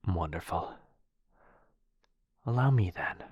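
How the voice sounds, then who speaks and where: Man playing a very deep, gentle vampire lord. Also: animated, slow pace, no room sound, muffled recording, full character voice. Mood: warm, courteous. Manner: soft, hesitant, genteel, often breathy near-whisper. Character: courteous, gentle vampire lord, centuries old master of house. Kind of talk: boyfriend ASMR roleplay acting.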